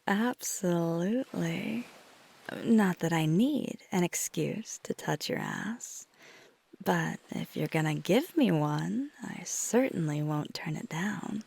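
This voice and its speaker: Slow, breathy whispering woman as a flirty vampire. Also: on the low side, heavily acted. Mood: playful, flirty. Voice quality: soft, breathy, mostly whispered. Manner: slow, gentle, hesitant, teasing. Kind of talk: intimate ASMR roleplay.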